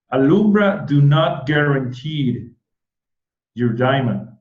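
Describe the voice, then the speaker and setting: Excited puerto rican accented guy hosting a live stream, trips over his words a lot, roomy muffled sound. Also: mid-range voice. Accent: Puerto Rican Spanish. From Puerto Rico. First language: Spanish. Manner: excitable, repeats words and restarts often. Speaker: host. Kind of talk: casual online event hosting.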